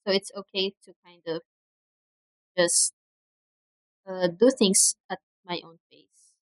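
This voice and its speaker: A young woman from the Philippines chats casually on her livestream, speaking softly with frequent pauses and fillers. Her cheap mic gives a dry, close sound in a room at home. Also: mid-range voice, steady tone, dry recording, rough recording. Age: young adult. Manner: soft-spoken, hesitant, many fillers. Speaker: streamer. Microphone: cheap desk mic.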